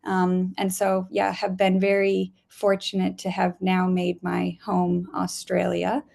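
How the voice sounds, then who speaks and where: Woman from spain, gravelly voice, chatty guest on a video call, lots of ums. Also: on the low side, completely flat delivery, takes it slowly, a little mellow. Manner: chatty, many ums and restarts, laughs often. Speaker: guest scientist. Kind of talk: casual interview over video call.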